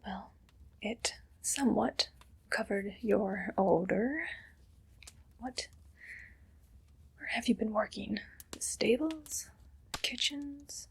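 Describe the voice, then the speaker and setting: A woman playing a haughty, condescending evil queen in a whispered ASMR roleplay, slow and disdainful, with a breathy voice. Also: fairly high pitch, full character voice. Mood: haughty, cool.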